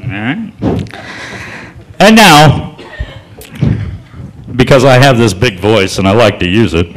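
A man performing a stand-up comedy set in a comedy club, telling stories in a deadpan, folksy drawl that builds to loud punchlines. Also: mid-range voice, a little hiss or hum, rough recording.

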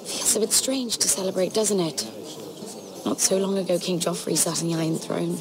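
A woman's voice: fairly low pitch, moderate pace, background noise, lightly acted. A woman playing a guarded young noblewoman in a dramatic video game, playful but easily wary. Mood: lightly social.

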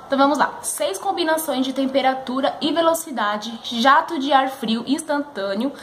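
A woman's voice: sits high, normal speed, fairly bright tone, a little hiss or hum. A woman in her twenties with a São Paulo Brazilian accent, chatting enthusiastically to camera in a casual product review, addressing viewers as friends. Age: 20s. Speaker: beauty vlogger. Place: living room at home, indoors.

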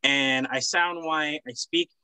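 Bright, forceful young man, native Spanish speaker. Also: on the high side, slow pace, clean tone, dry recording. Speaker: panelist, business owner. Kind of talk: explanatory panel conversation. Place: indoor room. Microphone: computer on a video call.

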